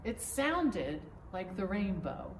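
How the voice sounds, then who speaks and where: A woman pastor reading a children's story aloud in an expressive, measured storytelling manner. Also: medium pitch. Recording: roomy echo, distant mic, faint noise.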